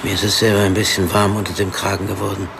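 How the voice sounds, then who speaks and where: An actor playing a teasing, unhurried police forensic expert in a radio drama, talking in a wry, easygoing way. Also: male voice, low-pitched, level tone. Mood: wry, factual. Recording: clearly noisy background ambience.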